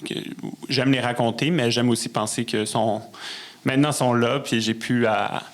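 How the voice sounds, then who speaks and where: A man with a Québécois French accent, speaking as a guest in a casual interview, often halting to search for words. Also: mid-range voice, fairly even intonation. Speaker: podcast guest, musician and conductor.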